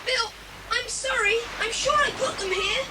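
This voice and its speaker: A woman's voice: very high-pitched, unhurried. A woman playing an eager, knowledgeable young boy in a children's audio drama, keen and earnest. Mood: apologetic, hurried. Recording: very noisy old cassette dramatisation.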